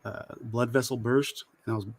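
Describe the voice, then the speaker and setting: A man's voice: medium pitch, fairly even intonation, moderate pace, gravelly, crowd noise. A man in his forties chatting casually and profanely in a group conversation. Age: 40s.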